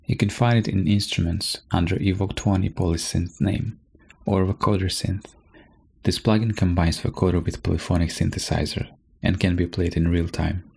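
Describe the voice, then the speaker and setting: A man narrating a software tutorial from a script, as a presenter explaining step by step. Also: sits low, fairly even intonation, moderate pace, creaky, slightly rough.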